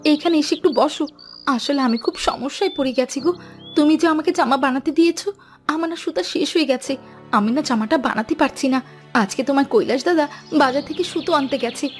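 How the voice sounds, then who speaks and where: A woman's voice: high-pitched, quick pace, soft background music, close to an everyday voice. A woman playing a harried, quarrelsome village seamstress wife in a cartoon. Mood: pleading. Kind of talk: animated cartoon voice acting.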